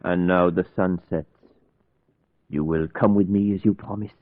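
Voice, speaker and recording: Older vampire hunter, low voice, solemn, deliberate, echoey tinny radio horror. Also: male voice, fairly even intonation. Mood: solemn, insistent. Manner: deliberate, solemn, foreign syntax. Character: grave older foreign professor, insistent, fatherly vampire hunter. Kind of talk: old-time radio horror acting. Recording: tinny vintage radio with long echoing reverb.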